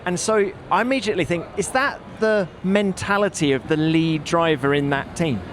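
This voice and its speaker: A man's voice: on the high side, moderate pace, a bit bright, a little hiss or hum. A man hosting a motorsport podcast in a conversational discussion style.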